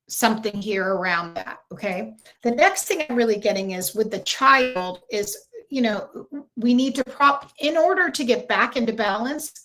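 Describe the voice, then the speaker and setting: A woman with a slightly high voice chats through a spiritual livestream from home. She pulls cards, answers viewers by name and drifts between thoughts with lots of ums. It is picked up by a simple computer mic. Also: fairly bright tone, low-quality recording. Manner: chatty, reads out viewer comments, many fillers and restarts. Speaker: livestream tarot reader. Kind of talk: casual livestream chat.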